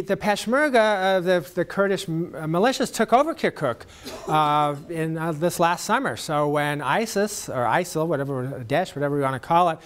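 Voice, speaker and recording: A man giving a public talk answers audience questions in a conversational way, with many fillers and restarts. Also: on the high side. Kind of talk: lecture Q&A.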